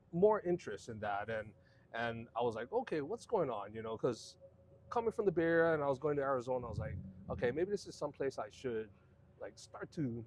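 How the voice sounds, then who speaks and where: A man. He tells his story in a relaxed, meandering way, full of "um"s and restarts. His voice sits at an ordinary middle pitch. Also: slight background noise. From Hawaii. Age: 40s. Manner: relaxed, rambling storytelling with many fillers and restarts. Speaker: guest. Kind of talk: casual conversational interview.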